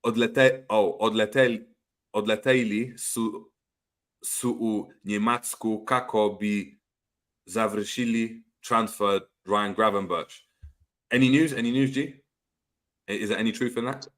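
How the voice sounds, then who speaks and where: A man's voice: lowish voice, fairly even intonation, unhurried, a bit bright. A young man, hosting a casual football chat and reading out viewer comments, slangy and prone to repeating words. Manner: laid-back, slangy, stumbles and repeats words. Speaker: podcast host.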